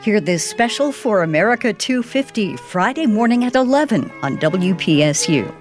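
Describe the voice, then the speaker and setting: A woman's voice: medium pitch, sing-song, normal speed, faint music behind. A woman reading scripted sponsor announcements for a public radio station. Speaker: underwriting announcer.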